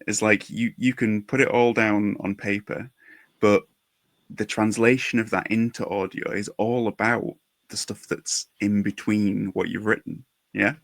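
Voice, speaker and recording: A man with a fairly low voice speaks as a podcast host. His delivery is conversational and reflective, and the sound is dry with little room in it. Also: no echo.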